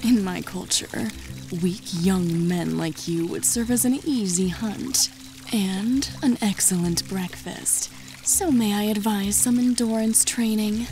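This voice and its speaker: Actress as coolly condescending fantasy warrior woman, mid-pitched, teasing, faint music underneath. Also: slow pace, darkish tone. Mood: smug, menacing. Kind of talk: dramatised fantasy audiobook acting.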